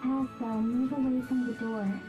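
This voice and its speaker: A woman's voice: on the high side, little pitch movement, measured pace, lightly acted. A woman playing an innocent, blunt and naive young girl, plain and unemotional, in a video game dub. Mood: blunt, curious. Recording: muffled sound with music behind.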